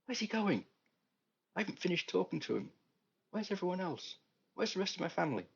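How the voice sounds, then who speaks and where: A man's voice: mid-range voice, fairly even intonation, brisk, a little mellow, highs cut off, pitched above the performer's own voice, lightly acted. A man voicing a confused, angry patient waking in a hospital bed, sarcastic and then frantic.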